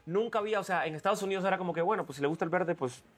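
Man from Cuba, guest, fairly high voice, halting casual answers. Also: slightly rough. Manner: hesitant, many fillers and restarts, laughing asides. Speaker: guest entertainer. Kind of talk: casual interview.

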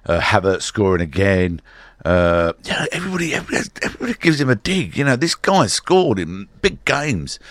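Hoarse man, radio sports host, low voice, lively swooping delivery, dry studio sound. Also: dry recording. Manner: chatty, rambling, many filler sounds. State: hoarse with a slight cold. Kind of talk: casual local radio chat. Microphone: studio microphone.

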